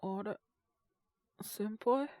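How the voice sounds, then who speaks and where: A man's voice: high voice, drawn-out words, no room sound, clean studio sound. A man acting an earnest, polite young junior coworker who is sick with a cold, coughing and sighing in an intimate Japanese voice drama. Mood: polite, grateful. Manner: polite and deferential on the phone, sighing, murmuring to himself.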